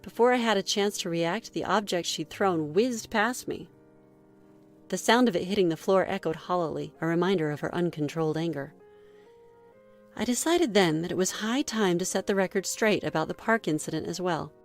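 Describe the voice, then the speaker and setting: A woman reading a scripted first-person story in a measured read-aloud manner. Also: on the low side, soft background music. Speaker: story narrator.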